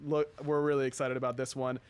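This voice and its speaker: A man's voice: mid-range voice, level tone, a little fast, smooth. A man hosting a casual game update presentation with an upbeat, enthusiastic manner. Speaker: host of a game update show. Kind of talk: casual live presentation and commentary.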